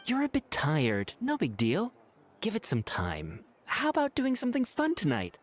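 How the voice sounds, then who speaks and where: A woman voicing a gentle, caring young best friend in a video game, eager to help. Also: mid-range voice, huge pitch swings, moderate pace. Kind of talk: video game character acting.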